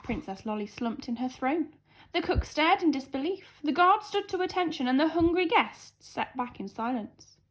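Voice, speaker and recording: Lady teacher doing story time, high voice, super expressive and swoopy, dramatic. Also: moderate pace, poor audio. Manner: dramatic, emphatic delivery. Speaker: primary school teacher reading a story. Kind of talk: expressive children's storybook reading.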